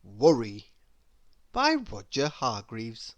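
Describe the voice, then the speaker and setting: A woman reading a children's story aloud in an expressive, deliberate storytelling manner. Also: very deep voice, dry recording.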